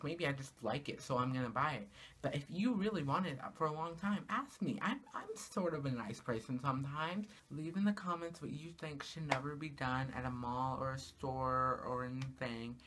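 A woman's voice: expressive, normal speed, slight background noise. Exasperated teen girl ranting to camera. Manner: exasperated, jumps up in pitch for rhetorical questions. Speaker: vlogger. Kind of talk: casual comedic rant to camera. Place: small bedroom, indoors. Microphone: camera in front of her, at arm's length.

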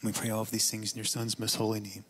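A man on a church stage praying slowly and deliberately into a stand mic before the sermon. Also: fairly low pitch, monotone, slight background noise. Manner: slow, deliberate prayer. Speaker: worship leader praying. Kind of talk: spoken prayer. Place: church stage behind keyboard.

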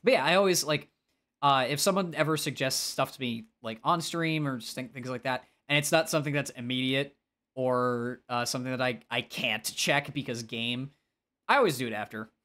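Male game streamer, nasal tone, mid-range pitch, casual, expressive, self-deprecating, chatting with viewers. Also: normal speed. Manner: expressive, self-deprecating, breaking off to answer chat. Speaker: game livestreamer. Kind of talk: casual livestream chat while gaming.

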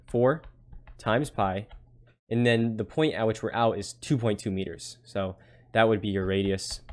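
A man's voice: lowish voice, darkish tone. A man giving a casual tutorial as a tutor, explaining step by step and often checking in with "right?". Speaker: physics tutor. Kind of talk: casual worked-problem tutorial.

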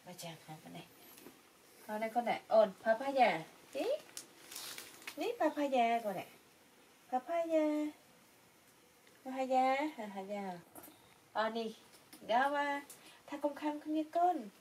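A young woman with a fairly high voice chats casually and coaxingly to her pets. She repeats short words over and over. She is recorded some way from the mic in a small room, with some noise behind her. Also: animated, slow, pauses often, a little mellow. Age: young adult. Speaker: pet monkey caretaker. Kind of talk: casual coaxing talk to pet monkeys. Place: small indoor room, on a bed. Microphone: camera mic a little way off. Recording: distant mic with clear background noise.

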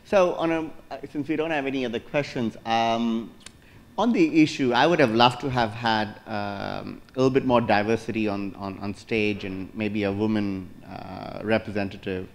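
A middle-aged man moderating a conference panel, brisk and jokey as he fires off questions on a lapel mic. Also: fairly low pitch, fairly even intonation, gravelly. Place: large conference hall stage.

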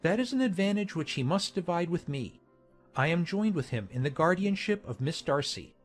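A well-born colonel in an audiobook drama. His voice is slightly high for a man, courteous and measured, with formal phrasing. Faint music runs behind him. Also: expressive. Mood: candid, polite. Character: courteous gentleman colonel, an earl's younger son.

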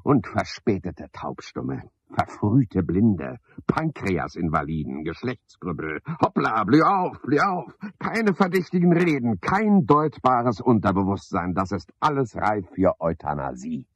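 Husky, sardonic man muttering eccentrically. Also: fairly low pitch, big pitch swings, measured pace, few pauses, no echo, highs cut off, full character voice. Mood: feverish, mocking. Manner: sardonic, brooding, musing aloud in fragments. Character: eccentric, sardonic man rambling to himself and unseen callers. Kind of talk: radio play monologue.

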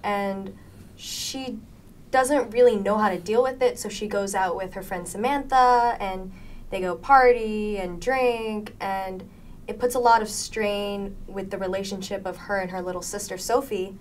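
A woman's voice: mid-range voice, fairly even intonation, a little slow. A teenage young woman, a student actor, explains things casually in a panel interview. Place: indoor panel, seated group. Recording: faint background ambience.

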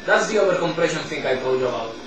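Hoarse man rambling over loud game music. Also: fairly high pitch, expressive, very fast. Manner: rambling, many restarts, swears at mistakes. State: losing his voice, coughing. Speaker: gameplay commentator. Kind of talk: casual let's-play commentary. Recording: roomy echo, distant mic, loud game music behind.

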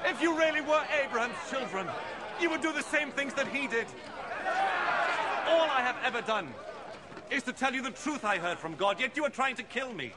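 A man's voice: very high-pitched, expressive, moderate pace. An actor in his thirties playing an impassioned young preacher confronting doubters in a film drama, declamatory and earnest. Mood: impassioned, accusing. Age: 30s. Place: open stone courtyard. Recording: noisy outdoor crowd ambience.

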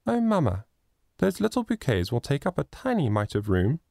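A man reading a storybook, voicing an eager, chattering little girl who pleads and bubbles with excitement. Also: medium pitch, normal speed, vocal fry, no echo, studio quality, pitched above the performer's own voice. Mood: eager pleading.

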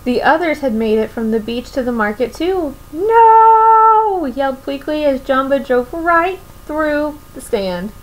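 A woman's voice: high-pitched, animated, normal speed, hardly any pauses, rough recording. A young woman reading a picture book aloud to children expressively, often putting questions to her listeners. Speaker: children's story reader. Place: small room at home, indoors.

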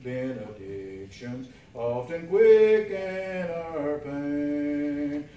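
A man's voice: animated, very slow, warm tone. An older man singing unaccompanied gospel hymns as a church singer. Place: small wood-paneled church room. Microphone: distant camera in the room. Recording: roomy, distant, noisy church recording with rumble.

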